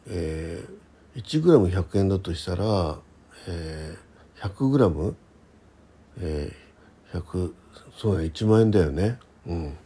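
A man talks alone as the host of a casual radio-style show. His voice is low and very husky, with a gravelly creak running through it. He speaks conversationally and hesitantly, dropping in small asides of agreement with himself. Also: warm tone. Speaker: host of a personal audio talk show. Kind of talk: casual solo radio chat, reading listener messages.

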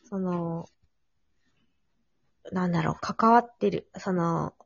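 A woman's voice: on the low side, takes it slowly, gravelly, husky, no room sound, lo-fi sound. A woman hosting a casual three-person chat in polite, conversational phrasing. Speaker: host.

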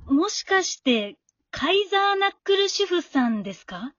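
A woman acting an excitable, starstruck female fan in an amateur radio drama, gushing and quick to apologize. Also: very high-pitched, dry recording, highs cut off, voice pushed up. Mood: hesitant, eager. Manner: gushing, apologetic when scolded.

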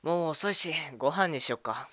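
A man acting a mild-mannered, smoothly deceitful young husband in an amateur radio drama, talking in an apologetic, domestic way. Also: on the high side, lively intonation, fairly quick, sharp tone, no room sound, clean studio sound. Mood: casual. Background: music.

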